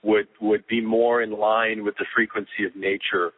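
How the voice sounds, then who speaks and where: A man co-hosting a casual podcast over the phone, giving firm opinions in a measured way with frequent restarts. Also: lowish voice, flat delivery. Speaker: podcast co-host. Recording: tinny phone line.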